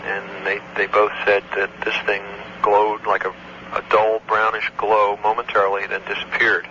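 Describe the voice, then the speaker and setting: A man, an air traffic control supervisor, recounting an incident in a matter-of-fact, halting way on an old taped phone call. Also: fairly low pitch, completely flat delivery, bright, forceful tone. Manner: matter-of-fact, halting recall with technical detail. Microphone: telephone.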